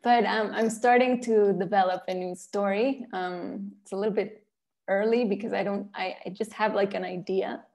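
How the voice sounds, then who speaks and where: Creaky-voiced Mexican woman in an interview. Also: medium pitch, steady tone, moderate pace, a little mellow. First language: Spanish. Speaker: interviewed author and illustrator. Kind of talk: conversational interview answers.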